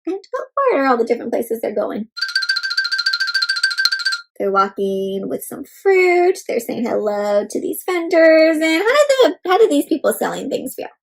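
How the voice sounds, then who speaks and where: High-voiced woman reading to children. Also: measured pace, gravelly, music in the background. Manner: animated, expressive, addressing children directly with tag questions. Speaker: children's read-aloud host. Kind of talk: interactive picture-book read-aloud for young children.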